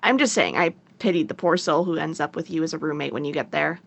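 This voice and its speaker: A woman plays a young sister in an audio drama, sarcastic yet caring. Her voice sits a little low for a woman, and her delivery is natural and conversational. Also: animated, quick pace, vocal fry, fairly bright tone, exaggerated voice. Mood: playful teasing. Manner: playful, teasing, sarcastic. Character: teasing, caring teenage or young adult sister.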